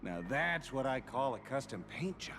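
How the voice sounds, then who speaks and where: A man's voice: mid-range voice, very animated, normal speed, vocal fry, background noise. An actor playing a breezy, teasing, sly male companion in a video game.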